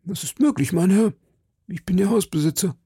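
A man's voice: high voice, brisk, mellow, breathy edge, no echo, studio quality, pitched above the performer's own voice, heavily acted. A man voicing a timid, deferential middle-aged landlord in an audiobook, hesitant and formally polite. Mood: nervous, deferential. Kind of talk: audiobook character dialogue.

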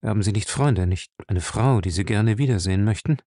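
A man voicing a grim, brutal captain, curt, gruff and threatening, in an audiobook. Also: on the low side, fairly quick, mellow, dry recording, clean studio sound. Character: grim, brutal captain, a menacing male interrogator. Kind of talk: audiobook character acting.

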